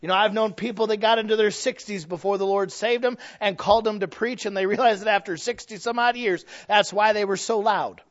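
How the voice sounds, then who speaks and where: High-voiced folksy male preacher. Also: fairly even intonation, fairly quick, hardly any pauses. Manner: folksy, humorous, with emphatic rises and drops. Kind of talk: sermon. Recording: slightly dull lo-fi sound.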